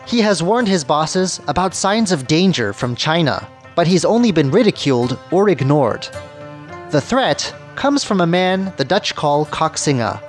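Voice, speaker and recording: Animated higher-voiced man narrating a radio documentary. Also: measured pace. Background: background music.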